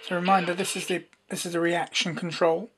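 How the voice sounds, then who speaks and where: A man's voice: high-pitched, little pitch movement, fast talker, crowd noise. A man presenting a casual, unscripted hands-on demonstration, explaining as he goes with some hesitancy. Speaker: presenter demonstrating a homemade radio.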